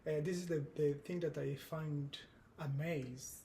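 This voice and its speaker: An Angolan man in his thirties with a Portuguese accent, answering as a guest with earnest, measured thoughts that often restart mid-phrase. His voice sits fairly high, with a gravelly fry and a mellow, dark tone, and a faint hiss is heard behind him. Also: steady tone. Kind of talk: casual conversation.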